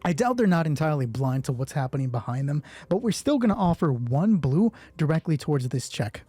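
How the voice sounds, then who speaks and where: A man's voice: on the high side, sing-song, fairly quick, warm tone, husky, no echo. A man narrating a solo tabletop game as game master, thinking aloud and asking himself questions that he then answers. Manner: deliberating, asks himself questions and answers them.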